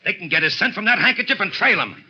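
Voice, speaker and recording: A suspicious, bossy gangster boss from 1930s radio. His voice is fairly high and very forceful, swinging widely as he barks like a tough guy. The recording is muffled. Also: expressive, fast, slightly rough, full character voice. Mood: barking orders. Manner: barking, tough-guy, domineering.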